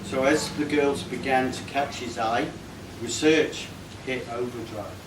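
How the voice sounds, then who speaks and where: Unhurried man reciting in an echoey room. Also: mid-range voice, far from the mic. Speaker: reader of a birthday tribute poem. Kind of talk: reading a comic rhyming poem aloud to a live gathering. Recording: echoey, noisy room recorded from afar.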